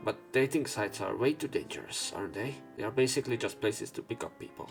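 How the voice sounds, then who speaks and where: A man's voice: medium pitch, lively intonation, fast talker, gravelly. A man reading visual novel text aloud as a let's play narrator, with casual asides. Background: visual novel game music and sound effects.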